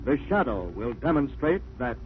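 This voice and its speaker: A man's voice: mid-range voice, big pitch swings, moderate pace, noisy background. A man making formal, declamatory scripted announcements in the manner of 1940s radio. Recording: tinny 1940s radio broadcast.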